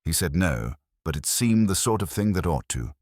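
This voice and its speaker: A man's voice: low-pitched, very animated, normal speed, darkish tone, no echo, clean studio sound. A man voicing a composed, affable small-town woman with a calculating, wry and genteel manner.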